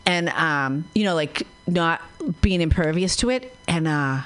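A woman with a slightly low voice talks openly and bluntly about her own life. She sounds feisty and direct in a relaxed radio conversation. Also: unhurried. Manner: frank, feisty storyteller. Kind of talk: casual chat.

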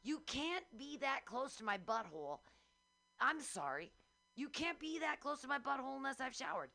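A woman's voice: sits high, big pitch swings, normal speed, gravelly, pushed and bright. A woman hosting a radio show in loose, casual group banter, with a blunt, profane way of talking.

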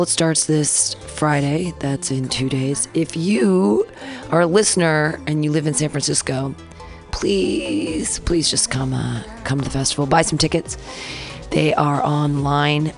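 Low-voiced chatty radio host woman. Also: creaky. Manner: chatty, rambling, animated retellings. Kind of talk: casual radio chat. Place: community radio studio. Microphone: studio mic.

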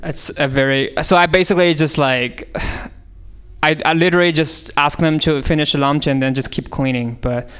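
A man's voice: on the high side, steady tone, normal speed, a bit bright, clean tone. A man from China with a Chinese accent, improvising comedy bits on given topics in a rambling way, full of fillers and restarts. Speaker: comedian riffing on prompts. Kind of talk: improvised comedy on audience prompts. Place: radio studio.

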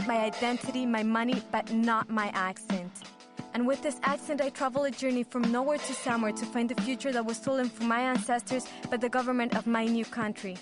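A woman reciting spoken-word poetry in a slow, deliberate and emphatic way. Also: a little high. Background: music.

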